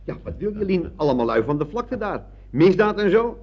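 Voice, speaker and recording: A man's voice: sits high, very animated, brisk, exaggerated voice. An actor playing an earnest, chatty male employee in a radio comedy, explaining himself defensively in colloquial speech. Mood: explanatory. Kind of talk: 1970s radio comedy acting. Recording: muffled old radio recording.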